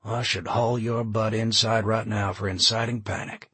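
A man's voice: on the low side, little pitch movement, moderate pace, slightly rough, dry recording. Man voicing a tense, stern small-town official. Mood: tense, hushed. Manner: tense, hushed, forceful.

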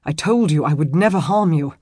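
Woman playing an earnest, husky medieval nobleman. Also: low voice, lively intonation, a little fast, dark tone, no room sound, studio quality. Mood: concerned, baffled. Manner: formal archaic phrasing, earnest, emphatic. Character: protective, earnest medieval nobleman, gentle yet commanding. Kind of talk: historical romance audiobook character acting.

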